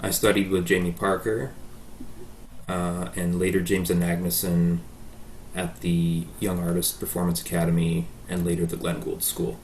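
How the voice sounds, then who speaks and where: Deep-voiced Canadian man, halting speech. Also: level tone, a little mellow, a little hiss or hum. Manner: hesitant, many ums and uhs. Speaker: guest pianist. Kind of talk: casual interview answers.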